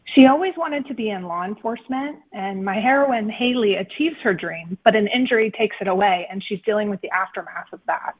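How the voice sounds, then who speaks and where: A woman's voice: medium pitch, fairly quick, hardly any pauses, husky. A woman author giving fluent, concise answers in a conversational interview. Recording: slightly dull, band-limited audio.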